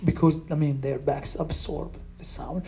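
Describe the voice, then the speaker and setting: Brief: non-native English speaker, sound artist, halting and full of restarts, dark mellow tone. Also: mid-range voice, steady tone, vocal fry. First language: not English. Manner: hesitant, many restarts and fillers. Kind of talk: explaining own work in a conversation.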